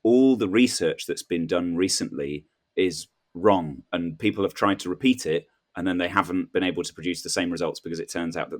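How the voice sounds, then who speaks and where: Deep voiced guy on a comedy panel, hesitant, puzzling it out, does little imagined quotes. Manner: hesitant, questioning, acts out imagined remarks. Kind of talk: casual comic chat.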